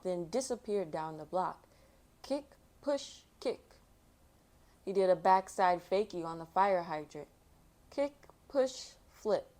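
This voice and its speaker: A woman hosting a storytime, reading aloud for children in an expressive way and chatting casually between passages. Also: lowish voice. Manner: expressive, acts out sound effects and exclamations. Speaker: storytime host reading a picture book.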